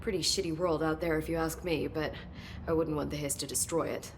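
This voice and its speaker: A woman's voice: sits low, steady tone, brisk, a little hiss or hum. An actress voicing an eager woman researcher in a game who explains things in a chatty, nerdy way. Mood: helpful. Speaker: research scientist guiding the hero.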